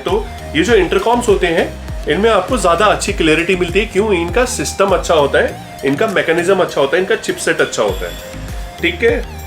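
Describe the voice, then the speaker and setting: Indian guy doing a casual gear explainer, slightly high voice, chatty, drops in english words, music behind him. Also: a bit bright. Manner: conversational, explanatory, with frequent check-in questions; mixes English words and phrases into speech. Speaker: video host and gear store seller.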